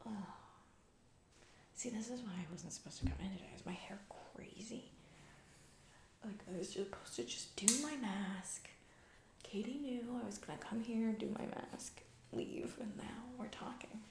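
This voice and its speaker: A woman's voice: medium pitch, normal speed, natural voice. A woman plays a callous, scatterbrained corporate boss lady in a comedy ASMR roleplay. Her voice is a crackly whisper in a noticeably roomy, echoing space. She rambles breezily in office jargon and trails off, absorbed in herself. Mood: distracted, self-absorbed.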